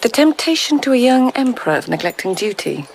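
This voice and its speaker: A woman's voice: fairly high pitch, big pitch swings, brisk, vocal fry, slight background noise. An actress playing a sardonic, guarded court lady in a radio drama, speaking in a dry, wry, clipped way. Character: sardonic, guarded Japanese court lady, sharp-witted diarist. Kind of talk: naturalistic radio drama acting.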